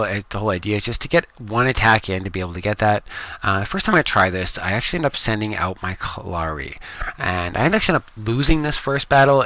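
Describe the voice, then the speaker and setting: Low-voiced Canadian man chatting casually. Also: dry recording. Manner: relaxed, rambling, many ums. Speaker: gaming video creator. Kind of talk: casual gameplay commentary. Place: home room with computers.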